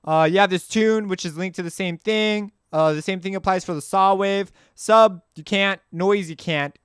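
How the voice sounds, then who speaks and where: Casual man with a clear, higher-pitched voice. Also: steady tone, normal speed, fairly bright tone, dry recording, studio quality. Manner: informal, slangy, rambling. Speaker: music software tutorial host. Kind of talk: casual off-the-cuff software walkthrough.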